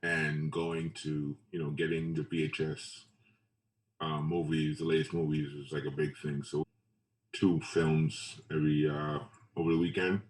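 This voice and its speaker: A man from Trinidad and Tobago with a low voice shares memories in a casual interview. He speaks haltingly and loosely, circling back over phrases. The sound is echoey, with the microphone at some distance. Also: flat delivery. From Trinidad and Tobago, later Brooklyn. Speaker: photographer being interviewed. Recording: roomy echo, distant mic.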